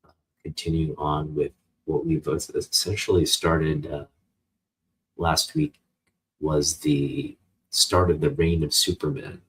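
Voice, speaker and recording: An American man chatting casually and unscripted as a vlog host, with a husky voice and a halting, rambling delivery as if thinking aloud. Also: extremely low pitch, steady tone, a little hiss or hum, poor audio. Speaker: host of a comic book channel.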